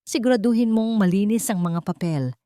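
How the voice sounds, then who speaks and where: A woman's voice: mid-range voice, brisk, dark tone, dry recording, clean studio sound, voice pushed up, lightly acted. A woman voicing a scheming wealthy older matriarch who gives orders with cold authority and cunning. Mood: commanding.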